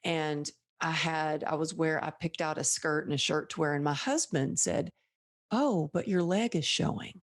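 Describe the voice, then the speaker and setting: A woman from Texas hosting a podcast, telling stories in a lively way and acting out the lines she quotes. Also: deep voice, moderate pace. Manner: animated storyteller, acts out quoted dialogue. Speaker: podcast host. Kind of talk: casual interview chat.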